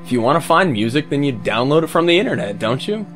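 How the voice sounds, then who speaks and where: A man's voice: fairly high pitch, very animated, fast. A man playing a smug, callous music pirate in a scripted comic monologue, flippant and self-justifying. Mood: defensive, dismissive.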